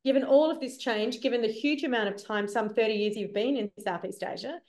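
A woman's voice: fairly high pitch, darkish tone. Enthusiastic woman host on a video call. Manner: enthusiastic, quick affirmations. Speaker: interview host. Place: indoors, room with plant and painting. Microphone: video call webcam.

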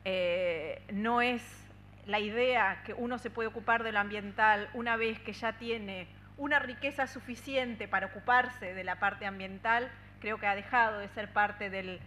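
Bright-voiced Argentine woman at a panel. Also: mid-range voice, unhurried, slight background noise. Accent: Argentine Spanish. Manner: earnest and fluent, with frequent "eh" fillers and restarts. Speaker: union policy adviser speaking on a panel. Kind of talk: conference talk. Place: conference room at a table. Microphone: desk microphone on a stand.

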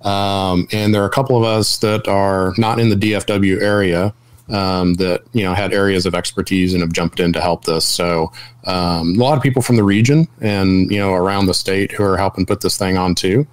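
An American man from Texas with a fairly low voice chats as a podcast guest. His talk is casual, and the recording is dry and free of room echo. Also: steady tone, normal speed, smooth. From Austin, Texas. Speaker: podcast guest, convention organizer. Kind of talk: casual chat.

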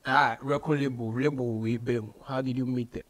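A man's voice: medium pitch, fairly even intonation, normal speed. A man in his thirties with a Ghanaian accent, hosting a casual radio interview with short interjections and follow-up questions. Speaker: radio show host. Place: small radio studio, indoors.

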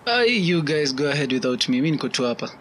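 A man's voice: a little high, very animated, fast, background noise. A man with a Kenyan accent playing a harassed young media intern in a radio drama. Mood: troubled.

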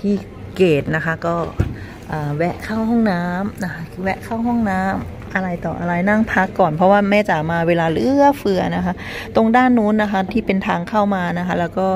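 A woman's voice: medium pitch, a little fast, a little mellow. A woman from Thailand, around middle age, chatting casually and politely to viewers as a travel vlogger on a handheld phone. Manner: chatty, addresses viewers with frequent polite particles. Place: airport car park and terminal. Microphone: handheld phone at arm's length. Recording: clearly noisy travel recording with vehicle ambience.

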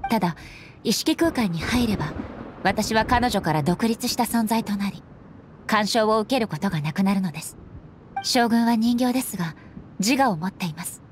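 A stern, resolute warrior goddess from an anime game, played by a woman, with a mid-pitched voice. Each phrase is formal, grave and measured. Faint ambience runs behind the voice. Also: animated, a little hiss or hum, lightly acted. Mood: calm, resolute. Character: solemn, resolute warrior goddess and her stern puppet shogun. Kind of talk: Japanese anime game voice acting.

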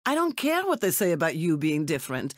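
An actress playing a poised, elegant secret agent in a video game, speaking with formal, composed politeness. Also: female voice, low voice, huge pitch swings, brisk, no room sound, studio quality, heavily acted. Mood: cold, distrustful. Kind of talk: scripted video game character dialogue.

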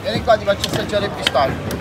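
A man selling at an outdoor market stall, quickly calling out his goods. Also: high voice, expressive. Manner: fast, listing goods. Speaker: market vendor. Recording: loud crowd and street noise.

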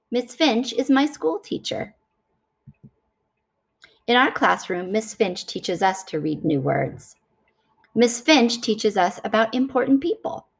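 A woman reading a picture book aloud to children in an expressive, deliberate storytelling style. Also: on the high side, creaky.

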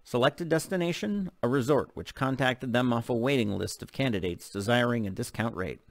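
A man's voice: medium pitch, moderate pace, gravelly, no room sound. A middle-aged man reading a novel aloud in an audiobook narration style. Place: open living room at home. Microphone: desk microphone close in front.

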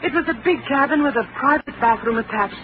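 An actress playing a frightened, insistent young newlywed wife in an old radio drama, speaking urgently. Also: female voice, sits high, fast, close to an everyday voice. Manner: urgent, pleading. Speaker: lead. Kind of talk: 1940s radio acting. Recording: dull lo-fi old radio recording, hiss.

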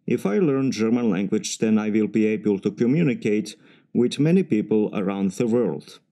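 A man with a Serbian accent giving formal, measured practice answers for a timed speaking exam. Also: lowish voice, lively intonation, dark tone. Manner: formal, measured, slightly stiff phrasing. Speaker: mechanical engineer practicing test answers.

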